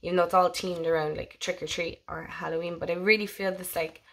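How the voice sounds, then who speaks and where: A young woman with a hoarse voice from a head cold, chatting casually and gushingly as she shows her tarot decks on her own channel. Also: on the low side, flat delivery, fairly quick. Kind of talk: casual show-and-tell vlog.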